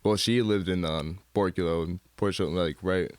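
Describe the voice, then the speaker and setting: A man's voice: low voice, fairly even intonation, normal speed, a little mellow, no room sound. An American man from Michigan speaking as a guest in a casual interview, rambling in a laid-back, slangy way. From Michigan, United States. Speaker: guest artist. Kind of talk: casual studio interview chat.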